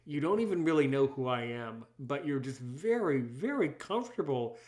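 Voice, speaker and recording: A man's voice: mid-range voice, animated, normal speed, a little mellow. Emphatic man hosting an interview. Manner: emphatic, repeats words and phrases. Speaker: podcast host; English as a second language teacher. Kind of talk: conversational interview.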